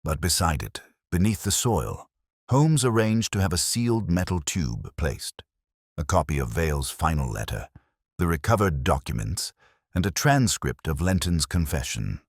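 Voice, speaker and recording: A man narrating a literary detective story from a script in a measured, solemn manner. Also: sits low, sing-song, husky, dry recording, clean studio sound. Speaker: audiobook narrator.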